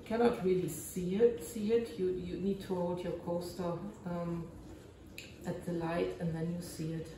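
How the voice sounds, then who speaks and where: A German woman with a German accent, narrating her resin crafting casually and often pausing to search for English words. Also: on the low side, flat delivery, echoey room, distant mic, noisy background.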